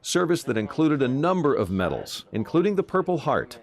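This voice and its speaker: Expressive American man narrating a news feature. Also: fairly high pitch, brisk, creaky. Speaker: TV news reporter.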